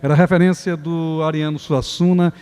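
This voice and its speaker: A Brazilian man giving a formal opening speech as a festival organizer, reflective and lofty, pausing and restarting his phrases. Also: a little high, little pitch movement, warm tone, clean tone. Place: theater stage at a live event. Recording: theater hall reverb.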